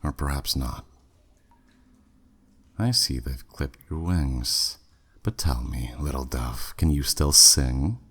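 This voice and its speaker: A man's voice: takes it slowly, long pauses, a bit bright, heavily acted. A man voicing a flirty, menacing demon who taunts a captive in a teasing, smug way, in a scripted ASMR roleplay. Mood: mocking, flirty. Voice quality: very deep, husky, breathy at phrase ends. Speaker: audio roleplay performer.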